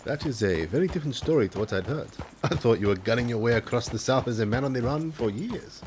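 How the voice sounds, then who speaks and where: A man's voice: medium pitch, quick pace, soft background music, natural voice. An actor playing a friendly, earnest Mexican lawman in a radio drama, polite and chuckling as he probes. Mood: probing, genial. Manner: courteous, chuckling, lively and probing.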